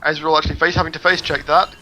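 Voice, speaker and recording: A man giving brisk play-by-play commentary on a video game match. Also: a little high, little pitch movement, bright, forceful tone, poor audio. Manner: brisk, descriptive play calling.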